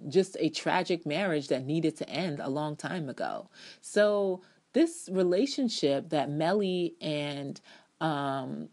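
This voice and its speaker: A low-pitched American woman reads her own written review aloud as a dramatic performance. She is emphatic and sassy, leaning theatrically into her opinions and breaking into conversational ad libs. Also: a little mellow.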